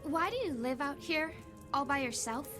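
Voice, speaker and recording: Casting: teenage runaway girl, extremely high young voice, vulnerable and blunt, screen drama over music. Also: lively intonation, normal speed. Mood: blunt, probing. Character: scared, blunt fourteen-year-old pregnant runaway girl in labor. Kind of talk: naturalistic screen drama acting.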